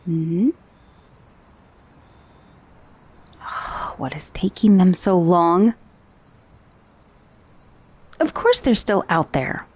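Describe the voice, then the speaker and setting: A woman's voice: medium pitch, darkish tone, husky, heavily acted. Friendly magical severed head, female dullahan, played by an actress, ASMR roleplay. Leisurely pace, casual and a little hesitant. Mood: impatient. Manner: casual, hesitant asides with sighs and murmurs. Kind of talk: ASMR roleplay acting, addressing the listener.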